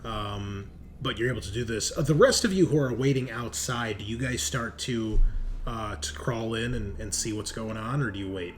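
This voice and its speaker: A man's voice: fairly low pitch, moderate pace. A man narrating a tabletop horror game as game master, in a conversational way. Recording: noticeable background noise.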